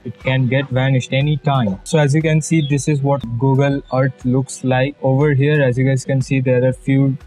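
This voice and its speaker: A young man from India with a Bengali Indian English accent, giving a casual, jokey software tutorial as a channel host, full of fillers and asides. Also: medium pitch, flat delivery, moderate pace, hardly any pauses, soft background music, low-quality recording. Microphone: budget external mic.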